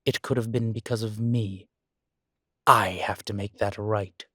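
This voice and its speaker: A man playing a guilt-ridden, centuries-old vampire in an audio drama, speaking gravely and formally with weary, deliberate pauses. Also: on the low side, steady tone, bright, forceful tone, no room sound, studio quality. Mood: earnest, resolute. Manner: slow, grave, deliberate phrasing with long pauses. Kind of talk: dramatic audio roleplay acting.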